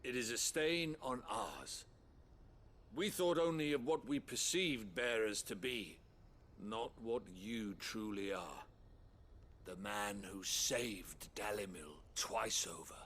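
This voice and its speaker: An actor playing an earnest, contrite town leader in a fantasy game, speaking solemnly and formally. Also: male voice, fairly low pitch, takes it slowly, fairly bright tone, slight background noise. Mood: solemn, resolved. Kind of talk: dramatic video game dialogue.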